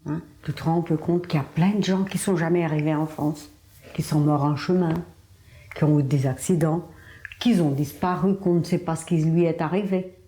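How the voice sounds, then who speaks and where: Older woman, slow, emphatic, warm low voice, echoey room. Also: steady tone, slight background noise. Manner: slow, emphatic, addresses listener with tu and hein. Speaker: documentary interviewee. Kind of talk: conversational testimony.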